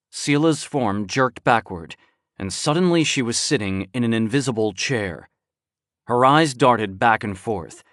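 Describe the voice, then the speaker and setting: A man voicing a frightened, indignant woman who shouts and pleads in a shrill voice, read for an audiobook. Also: no echo, studio quality. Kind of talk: audiobook character voice.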